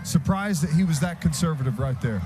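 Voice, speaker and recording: A man's voice: fairly high pitch, fairly quick, background noise. An American man giving conversational, explanatory football analysis as a radio color commentator. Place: stadium radio booth. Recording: live radio broadcast, loud stadium sound behind.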